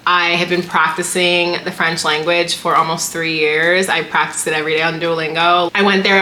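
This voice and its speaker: A woman's voice: mid-range voice, bright, forceful tone. A young woman chatting casually to camera about things she bought, bubbly and full of "like" fillers. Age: young adult. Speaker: vlogger showing a travel haul. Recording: roomy echo, faint room noise.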